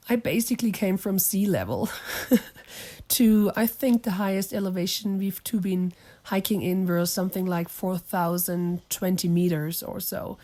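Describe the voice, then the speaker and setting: Hoarse woman podcast host, German native speaker, mellow tone, chatty and quick to laugh. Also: mid-range voice. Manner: chatty, laughs often, asks questions. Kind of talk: casual interview on the trail. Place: lodging in a small mountain town.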